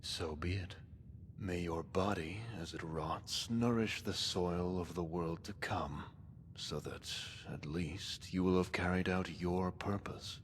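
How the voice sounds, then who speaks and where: An actor playing a cold, menacing post-apocalyptic cult overlord, speaking in a pompous, archaic, deliberate manner as scripted game dialogue. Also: male voice, deep voice, fairly even intonation, long reverb tail, slight background noise. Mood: solemn, contemptuous.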